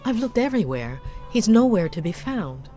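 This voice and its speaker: An anxious young lady from a 1950s noir video game, voiced by an actress, polite and apologetic. She speaks earnestly at a medium pitch, with some music underneath. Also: very animated, moderate pace, mellow, natural voice. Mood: confessing, sad. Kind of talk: dramatic game dialogue.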